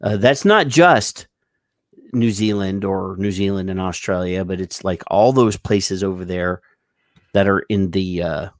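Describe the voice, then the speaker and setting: A man's voice: low-pitched, a little slow, no room sound, studio quality. A man hosting a casual podcast, rambling and joking with many restarts. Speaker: show host.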